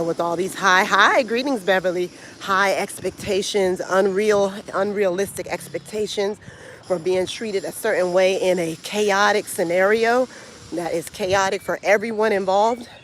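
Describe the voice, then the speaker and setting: A woman's voice: mid-range voice, slight background noise. An American woman talking in a casual, opinionated and colloquial way, like a vlogger speaking to her followers. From the United States. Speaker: vlogger and travel coach. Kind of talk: casual vlog monologue to camera.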